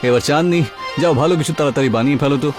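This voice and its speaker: A man's voice: on the high side, rapid-fire, lightly acted. A man voicing a determined, hopeful young village boy in a cartoon, earnest and reassuring. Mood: eager. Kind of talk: cartoon character acting. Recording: music behind the voice.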